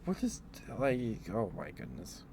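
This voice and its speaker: Male ASMR streamer, low-pitched, casual and rambling, with noticeable background noise. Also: huge pitch swings, measured pace, gravelly. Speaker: livestreamer.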